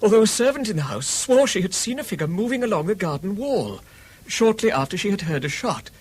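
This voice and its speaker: Haunted, embittered British recluse, husky and fairly high, animated swoops, formal grave intensity, radio mystery. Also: male voice, brisk, heavily acted. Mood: grave recounting. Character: haunted, eccentric, embittered gentleman recluse, male. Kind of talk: British radio drama acting.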